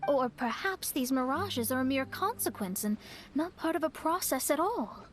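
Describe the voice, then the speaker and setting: A woman playing a proud, thoughtful young woman in a video game, speaking in deliberate, formal phrases. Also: high voice, animated, a little hiss or hum. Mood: pensive. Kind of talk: video game cutscene acting.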